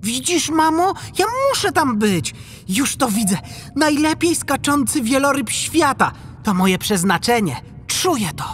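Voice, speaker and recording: A woman voicing an eager, excitable young male humpback whale child in a children's audiobook. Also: high voice, unhurried, slight background noise. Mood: pleading, excited. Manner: breathless enthusiasm, pleading.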